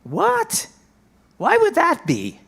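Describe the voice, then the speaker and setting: A man playing a flustered, irreverent disciple in an amateur dramatic reading, halting and exclamatory, with flippant asides. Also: very high-pitched, wildly swooping pitch, creaky, fairly bright tone, full character voice. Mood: indignant. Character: flustered, irreverent male disciple arriving late.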